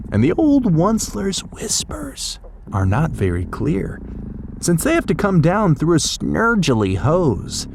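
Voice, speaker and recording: A man narrating a children's storybook in an expressive, theatrical way. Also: fairly high pitch, vocal fry, noisy background. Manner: dramatic, theatrical.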